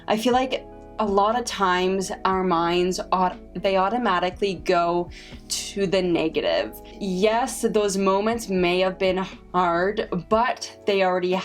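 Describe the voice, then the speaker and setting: A woman's voice: mid-range voice, faint music behind. A woman in her twenties with a stutter, talking casually and reflectively to camera as a vlogger, with frequent repeated sounds and restarts. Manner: slow, reflective and encouraging.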